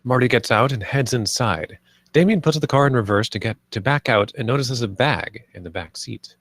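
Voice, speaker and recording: A man's voice: medium pitch, normal speed, fairly bright tone, no echo, studio quality. A man reading scripted stage directions as the narrator of a live screenplay table read.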